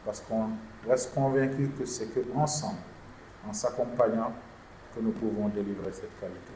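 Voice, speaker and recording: A man, an insurance executive, answering journalists' questions at a press conference in a measured, formal way. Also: on the low side, warm tone. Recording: echoey room with background noise.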